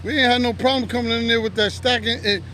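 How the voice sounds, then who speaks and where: A man's voice: very high-pitched, fairly even intonation, quick pace, bright, forceful tone, a little hiss or hum. Hyped slangy New man. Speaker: interviewer. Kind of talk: casual interview.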